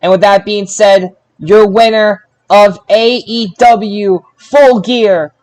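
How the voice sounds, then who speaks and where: Slow-paced man hosting a podcast. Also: sits high, fairly even intonation, a little mellow. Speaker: podcast host. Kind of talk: casual sports results chat.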